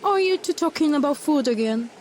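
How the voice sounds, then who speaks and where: A man's voice: very high-pitched, lively intonation, brisk, slight background noise. A man playing a prim, moralizing coworker. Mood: reproachful. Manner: prim, reproachful.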